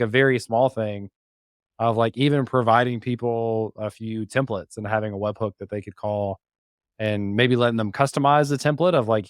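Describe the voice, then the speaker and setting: A man's voice: on the low side, dry recording, clean studio sound. A man chatting casually as a podcast guest, with a joking, teasing manner. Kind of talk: casual chat.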